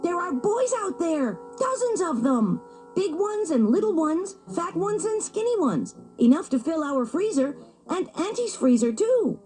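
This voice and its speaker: A woman voicing a young, mischievous boy wolf cub who shouts and pleads in a high voice. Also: expressive, measured pace, few pauses, faint music behind. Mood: excited, pleading.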